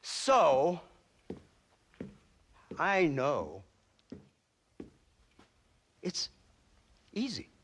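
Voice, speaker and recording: A man's voice: a little high, wildly swooping pitch, very slow, long pauses, a bit bright, a little hiss or hum, full character voice. An actor playing a smug, cynical middle-aged government lawman in a video game, speechifying in a theatrical, sardonic manner. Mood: mocking, musing. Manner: theatrical, sardonic, drawling speechifying with mocking flourishes. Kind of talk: video game cutscene acting.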